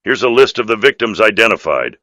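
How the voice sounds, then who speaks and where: A man's voice: lowish voice, animated, fairly quick, sharp tone, no room sound, clean studio sound. A man voicing an earnest, dutiful police officer in video game dialogue, firm and official in manner. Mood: grave.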